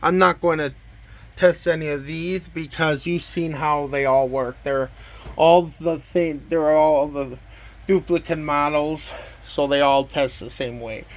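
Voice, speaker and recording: Man, slightly higher-pitched voice, plain and matter-of-fact, informal hobbyist demo. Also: fairly even intonation, a little slow. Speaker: collector presenting a weather radio collection. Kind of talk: casual show-and-tell demonstration.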